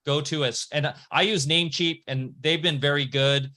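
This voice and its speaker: A man's voice: on the high side, steady tone, normal speed, sharp tone. A man hosting a podcast, talking casually and rambling, often restarting and correcting himself mid-sentence. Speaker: podcast host. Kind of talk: casual conversation.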